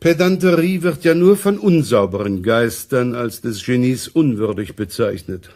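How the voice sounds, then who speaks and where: A man acts out a self-important literary gentleman in a radio drama. His medium-pitched voice is pompous and oratorical, with carefully measured phrasing. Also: lively intonation, lightly acted. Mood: solemn, self-important. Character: vain, pompous male essayist, an aging intellectual celebrity. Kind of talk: 1980s German radio play acting.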